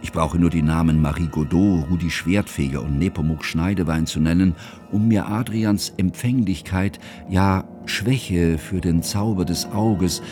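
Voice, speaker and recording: Deep, mellow and closely recorded, a bookish man chronicling his friend's life in a radio play. He speaks formally and with scholarly fussiness, anxious underneath, over music. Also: measured pace. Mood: reflective, measured. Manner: formal, scholarly, ornate phrasing. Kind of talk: literary radio drama narration.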